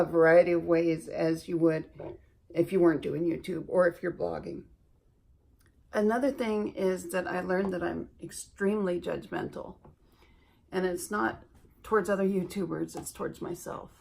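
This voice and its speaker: A woman from Alaska chatting slowly and self-deprecatingly while doing her makeup for a beauty vlog. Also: on the low side, gravelly, darkish tone, slightly rough. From Alaska, USA. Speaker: beauty vlogger.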